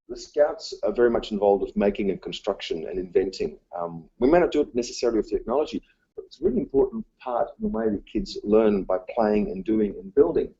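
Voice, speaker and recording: A male teacher whose first language was Dutch, giving a conference talk in a halting way, full of ums and uhs. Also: lowish voice, gravelly, mellow, slightly rough, highs cut off. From Australia. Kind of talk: presentation talk. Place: conference room.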